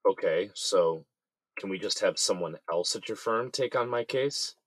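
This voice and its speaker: A man's voice: lowish voice, a little slow, husky, no echo. A man playing an indignant, desperate company boss in jail, in an audio drama. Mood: angry, indignant.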